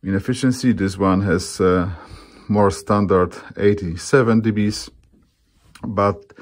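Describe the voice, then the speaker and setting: Deep-voiced man, halting product presenter. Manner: halting, searching for words. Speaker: loudspeaker designer presenting own products.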